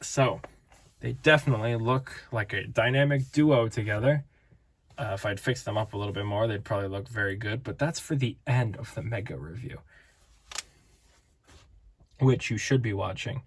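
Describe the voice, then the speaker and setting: A man's voice: lowish voice, moderate pace, long pauses, husky. A man casually reviewing collectible figures, rambling and playful, tired with his voice starting to give out. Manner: rambling, playful, self-interrupting. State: tired, voice fading.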